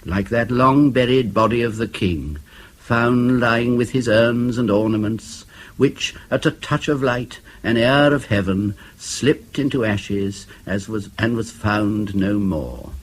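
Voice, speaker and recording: A man reciting poetry in a solemn, measured, grave manner. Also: mid-range voice, poor audio. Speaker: poetry reader.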